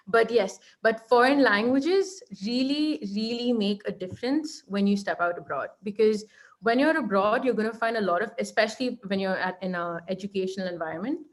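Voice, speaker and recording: A young woman with an Indian accent answers interview questions at a steady mid pitch. She gives earnest, practical advice and keeps checking in with a quick "right?". She often restarts her phrases as she talks. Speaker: guest, law lecturer. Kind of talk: online interview conversation.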